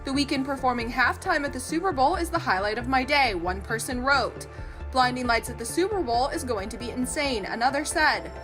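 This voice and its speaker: Casting: female entertainment news narrator, high-pitched voice, scripted read over faint music. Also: animated, fairly quick.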